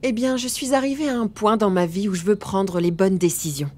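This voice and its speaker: A woman's voice: medium pitch, fairly quick, darkish tone, close to an everyday voice. An actress dubbing a single woman optometrist in her late thirties in a French film. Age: late 30s.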